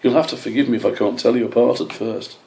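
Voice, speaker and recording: A man voicing a gentle, polite young female badger in an animal story. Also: mid-range voice, fairly even intonation, fast, vocal fry, natural voice, rough recording. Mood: apologetic.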